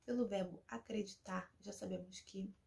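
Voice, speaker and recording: A young woman from Brazil hosts a relaxed recommendation video. Her voice has a noticeable vocal fry and sits in a middle pitch. She explains things in a chatty way and now and then reads a short synopsis aloud. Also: normal speed. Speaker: Spanish teacher and video host. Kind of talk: casual recommendation vlog.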